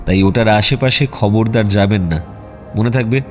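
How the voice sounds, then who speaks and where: A man playing a self-assured, wry detective in a radio drama, polite and probing with deadpan teasing. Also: fairly low pitch, normal speed, soft background music, close to an everyday voice. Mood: mock-serious warning.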